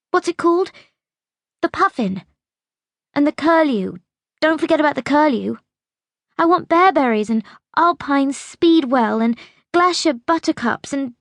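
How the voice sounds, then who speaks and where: A woman's voice: sits high, no room sound, pitched above the performer's own voice. A woman voicing a bitter, insistent young girl in an audiobook story, pleading and accusing with emphasis. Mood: demanding, petulant. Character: bitter, demanding young girl pleading with her great-grandmother.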